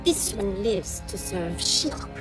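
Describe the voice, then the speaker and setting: A woman's voice: medium pitch, lively intonation, slow pace. A woman playing a devoted, secretive insect creature in a video game, with a formal, stylised way of speaking. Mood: devoted, grave. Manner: formal, speaks of self in third person. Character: devoted female insect creature, secretive servant of dark lord. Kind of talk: dramatic video game acting. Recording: music behind the voice.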